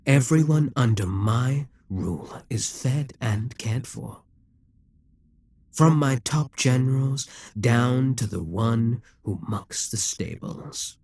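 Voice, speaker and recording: Male actor for a possessive demon king, audio roleplay, mid-pitched husky voice, slow measured persuasion. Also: expressive, dry recording, studio quality. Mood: persuasive. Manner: slow, measured, persuasive, with quiet whispered asides.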